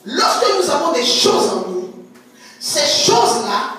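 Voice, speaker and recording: A woman's voice: high voice, sing-song, measured pace, fairly bright tone. A woman prophetess preaching a sermon, emphatic, repeating words and stretching key phrases. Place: church hall. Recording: echoey church hall, distant mic, faint music.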